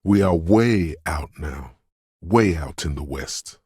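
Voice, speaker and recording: A man voicing a detective's eerie, dreamy intuition in a video game, narrating in a husky, hushed, ominous and poetic way. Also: animated, slow pace, dry recording, clean studio sound, full character voice. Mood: eerie, wistful. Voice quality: husky, gravelly bass. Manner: hushed, ominous, poetic, lingering on short phrases. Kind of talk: atmospheric second-person video game narration.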